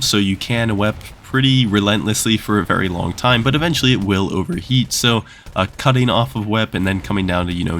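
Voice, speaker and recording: A man's voice: lowish voice, fairly bright tone, slight background noise. A young man with a nasal, congested voice from a cold, giving casual gameplay commentary that explains things and wanders into asides. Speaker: gaming YouTuber.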